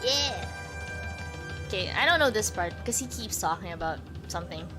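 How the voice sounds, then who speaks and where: A woman streamer with a very high voice, chatting casually with her viewers in a bubbly, talkative way and laughing often. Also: lively intonation, normal speed, over music. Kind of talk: casual livestream chat.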